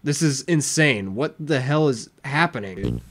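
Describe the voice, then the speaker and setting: A man's voice: medium pitch, animated, measured pace, fairly bright tone. A young adult man giving casual reaction commentary into a desk microphone in a small room. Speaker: reaction video host. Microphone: desk microphone with headphones.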